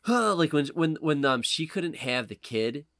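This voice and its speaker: A man hosting a casual podcast chat, talking in an animated, informal way. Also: fairly high pitch, slow pace, dry recording, clean studio sound. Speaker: podcast host.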